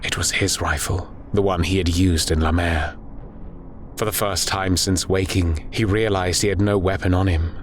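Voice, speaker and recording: Male audiobook narrator, low pitch, flat intonation, solemn, dramatic war horror, rumbling ambient noise behind. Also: normal speed. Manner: grave, solemn.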